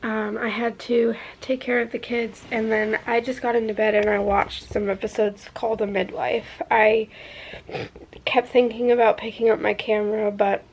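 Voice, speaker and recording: A woman's voice: on the high side, little pitch movement, normal speed, vocal fry, a little hiss or hum, poor audio. Chatty congested woman vlogging from her car. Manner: rambling, many fillers like "like" and "I don't know". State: congested from a sinus infection. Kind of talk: casual chat to camera. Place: in a car, then at home.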